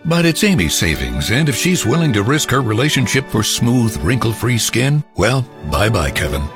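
A man's voice: mid-range voice, very animated, moderate pace, music in the background. A man narrating a scripted comedic advertisement in a wry, dry tone. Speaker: ad announcer.

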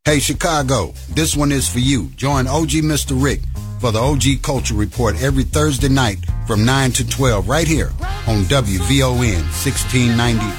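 A man's voice: medium pitch, normal speed, faint music behind. A man reading an upbeat radio station promo.

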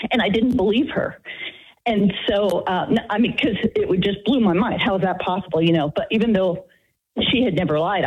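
A woman in her late fifties calls in to a radio show, her voice thin and tinny down a phone line. She speaks in a low, husky tone and stumbles nervously through her story with plenty of ums and restarts. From Texas, United States. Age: 50s. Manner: nervous, hesitant, many fillers. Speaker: caller. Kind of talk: radio call-in chat.

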